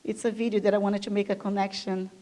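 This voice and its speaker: A woman's voice: medium pitch, little pitch movement, quick pace, warm tone. Enthusiastic Brazilian-born woman giving a talk. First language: Portuguese. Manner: enthusiastic, chatty, frequent fillers. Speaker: guest speaker. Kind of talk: conference talk. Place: event room with live audience.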